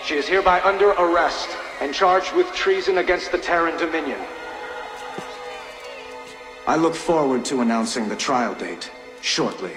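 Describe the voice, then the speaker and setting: A stately young emperor, played by a man, speaking formally in a game drama. His fairly high male voice rings through a long, echoey reverb over music. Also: natural voice. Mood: solemn, resolute. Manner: formal, deliberate oratory. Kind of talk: public address in game drama.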